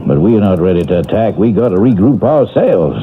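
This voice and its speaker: A gruff Confederate sergeant. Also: male voice, deep voice, very animated, fairly quick. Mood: scheming. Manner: coarse, blunt, scornful. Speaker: soldier in a radio play. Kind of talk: 1970s radio drama acting. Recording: lo-fi phone-like old radio recording with loud hiss.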